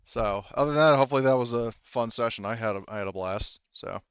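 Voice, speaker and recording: A man's voice: mid-range voice, fast, smooth, no echo. A man speaking casually as game master of a tabletop game, explaining things with hesitant asides. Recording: muffled, slightly lo-fi voice chat mic.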